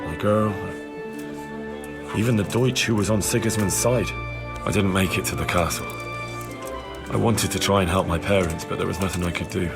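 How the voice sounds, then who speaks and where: Earnest, low-voiced young medieval investigator. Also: male voice. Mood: grieving. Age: young adult. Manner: earnest, plain-spoken, insistent. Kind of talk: video game cutscene dialogue. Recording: game dialogue with music underneath.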